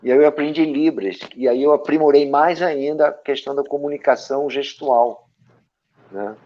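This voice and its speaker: A man from Brazil, an actor and director, telling stories from his career as an interview guest, with a lively anecdotal manner and frequent tag questions. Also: on the high side, moderate pace. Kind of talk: storytelling interview answers. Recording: dull lo-fi audio.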